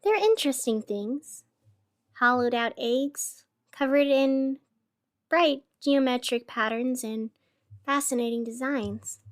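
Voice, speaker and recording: A woman playing a weary, lonely radio host, musing wistfully to herself in a scripted radio fiction monologue. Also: sits high. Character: weary, lonely, melancholy female radio host in a gray city. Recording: music playing under the voice.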